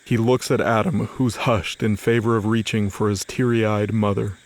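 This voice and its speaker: A man's voice: on the low side, little pitch movement, normal speed. A man narrating scripted fiction as the storyteller of an audio drama. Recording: faint music under the voice. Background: music.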